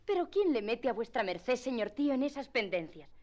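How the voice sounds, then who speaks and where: A young niece speaks high and earnestly in a radio play. Her is emphatic, with an anxious, gently scolding temper. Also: sing-song, brisk, dull audio. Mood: pleading, scolding. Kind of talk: classic radio drama acting.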